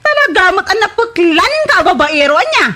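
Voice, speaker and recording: A woman's voice: extremely high pitch, big pitch swings, normal speed, bright, forceful tone, exaggerated voice. A woman with an Ilocano Filipino accent playing a fed-up, scornful young girlfriend who scolds emphatically in a radio comedy drama. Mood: angry, scornful.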